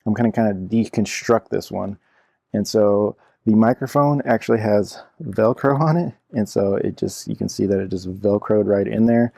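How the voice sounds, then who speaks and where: A man's voice: lowish voice, normal speed, creaky, dark tone, no room sound, studio quality. A man giving a casual hands-on product review in an informal, explanatory way, repeating himself as he goes. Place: indoor room at a cluttered computer desk.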